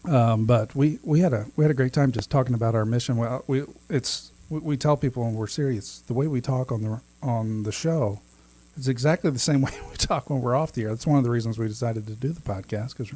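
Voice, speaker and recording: A man's voice: mid-range voice, fairly quick, few pauses, dark tone. A man in his thirties co-hosting a podcast, chatting casually, laughing often and restarting his sentences. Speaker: podcast co-host. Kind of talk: casual chat.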